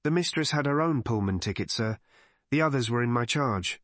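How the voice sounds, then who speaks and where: A man's voice: mid-range voice, expressive, fairly quick, fairly bright tone, no echo, voice pushed up, lightly acted. A man voicing a deferential English lady's maid in an audiobook, respectful, hesitant and plain-spoken.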